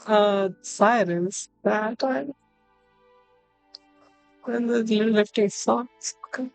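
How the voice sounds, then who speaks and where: Female game streamer, relaxed and even, musing casually, dry close sound over music. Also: on the high side, very slow, gravelly, no echo. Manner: musing, thinking aloud. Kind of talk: casual live game reaction commentary. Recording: music under the voice.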